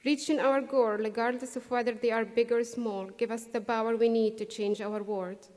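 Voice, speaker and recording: Middle-aged woman, English as a second language, earnest convocation speaker, slightly high voice, long hall reverb. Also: little pitch movement, a little mellow. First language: not English. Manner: earnest, measured, with pauses for emphasis. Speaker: graduation speaker. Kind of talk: prepared convocation speech. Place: large hall. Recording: distant echoey hall recording.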